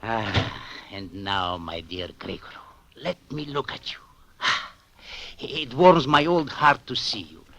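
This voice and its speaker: A man's voice: fairly low pitch, takes it slowly, sharp tone, slight background noise, exaggerated voice. An actor playing an effusive, fussy elderly prince and prime minister, fatherly but insistent, in an old-time radio drama. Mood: effusive, delighted. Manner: courtly, fussy, exclaiming.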